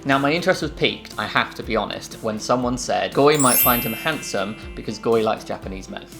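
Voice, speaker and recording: A British man chats casually as co-host of a reaction show, reading off facts in a dry, matter-of-fact way. His pitch sits in the middle of the range. Faint music plays behind him. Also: animated, a little fast, creaky.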